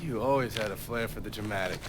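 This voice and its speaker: A man playing a sharp, wry intelligence operative, talking in a dry conversational way in a video game cutscene. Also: quick pace, close to an everyday voice. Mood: wry, intrigued. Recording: clear rumbling vehicle-like noise.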